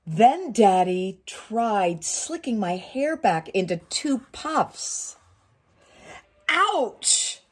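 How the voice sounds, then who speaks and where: A middle-aged woman reads a children's picture book aloud. She takes it very slowly and expressively, swinging widely in pitch, and chats to her young listeners along the way. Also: slightly rough. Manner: expressive, drawn-out phrasing with questions to young listeners. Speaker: community storytime reader. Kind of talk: animated picture-book reading for children with chatty asides. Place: small wood-panelled room indoors.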